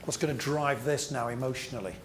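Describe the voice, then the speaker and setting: A man's voice: medium pitch, fairly even intonation. Emphatic male presentation trainer, slightly noisy room. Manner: emphatic, rhetorical questions and deliberate repetition. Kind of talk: interactive training seminar talk to a group.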